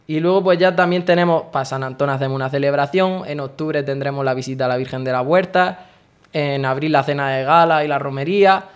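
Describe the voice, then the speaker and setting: A man's voice: fairly high pitch, clear, resonant tone. A young man in his late teens, earnestly and devoutly describing his faith in a radio interview. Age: young adult. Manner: earnest, devout, talks at length. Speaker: guest, brotherhood member.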